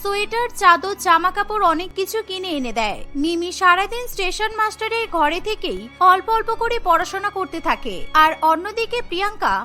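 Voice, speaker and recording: A woman's voice: very high voice, lively intonation, moderate pace, hardly any pauses, pushed and bright, faint music behind, exaggerated voice. A woman narrating a cartoon story in a dramatic, scripted storytelling style.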